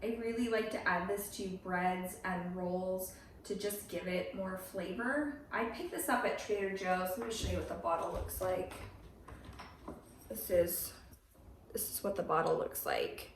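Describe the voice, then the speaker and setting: A woman with a slight cold and a hoarse voice, chatting through a home cooking tutorial step by step in her kitchen. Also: fairly low pitch, a little slow, a little hiss or hum. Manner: chatty, explains steps plainly. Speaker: cooking channel host. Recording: voice a little far from the mic.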